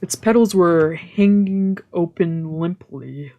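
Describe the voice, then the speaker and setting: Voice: medium pitch, slow pace, mellow. A streamer reading a visual novel aloud as storytelling narration, with casual musing asides. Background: visual novel background music.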